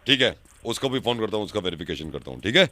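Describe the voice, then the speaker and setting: A man's voice: on the low side, big pitch swings, fast talker, sharp tone, slight background noise. A man on a prank call playing a stern police verification officer, curt and repetitive as he questions and stalls. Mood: brusque. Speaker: prank caller, radio show host. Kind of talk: prank phone call in character.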